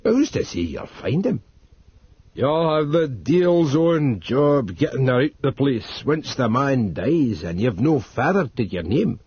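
A man voicing a taciturn old male servant, speaking slowly and gruffly. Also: medium pitch, big pitch swings, darkish tone, dry recording, exaggerated voice. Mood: dour. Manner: slow, gruff dialect speech. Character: taciturn old male retainer, dour and blunt. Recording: dull dry studio read.

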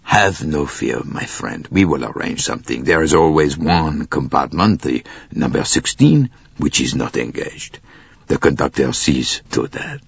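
A man's voice: a bass voice, lively intonation, moderate pace, breathy edge, no echo, muffled recording, voice pushed down, full character voice. A man voicing a genial, self-assured railway director in an audiobook, with a hearty, commanding manner. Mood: reassuring. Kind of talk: audiobook character voice.

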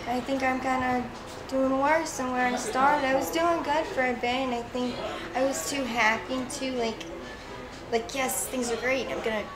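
A woman's voice: high-pitched, fairly even intonation, moderate pace. A woman in her twenties telling her own story candidly in an interview, rambling and emotional, swearing freely. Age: 20s. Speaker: street interview guest. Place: indoors in a fast-food restaurant seating area. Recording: echoey indoor space with music playing.

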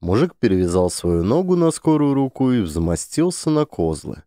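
A man narrating an audiobook in an expressive storytelling manner. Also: mid-range voice, moderate pace, no room sound, studio quality. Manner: expressive storyteller, voices characters. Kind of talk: dramatic audiobook reading.

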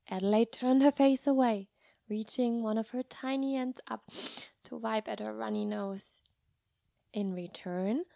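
A woman narrating a scripted children's story in a gentle, expressive way. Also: on the high side, vocal fry, no room sound, clean studio sound. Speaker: story reader.

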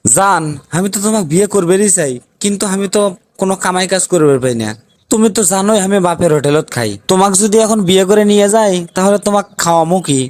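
Anxious North Bengali young boyfriend, acted by a man, high-pitched. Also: fast talker, darkish tone, lightly acted. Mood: exasperated, worried. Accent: North Bengal Bangladeshi. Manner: whining, exasperated comic complaining. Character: anxious, broke young village boyfriend turned reluctant groom. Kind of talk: comedy cartoon dialogue.

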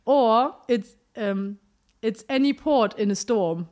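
A woman's voice: a little high, animated, takes it slowly, warm tone. German woman chatting on a podcast. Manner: playful, laughs often, quizzes her partner. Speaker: podcast co-host. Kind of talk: casual chat.

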